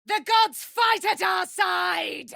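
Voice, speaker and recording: A woman's voice: very high voice, takes it slowly, creaky, sharp tone, no echo, shouting, clean studio sound, exaggerated voice. A woman playing a proud immortal warrior, daughter of a moon goddess, in theatrical fantasy game style with grandiose, archaic diction. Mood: battle fury.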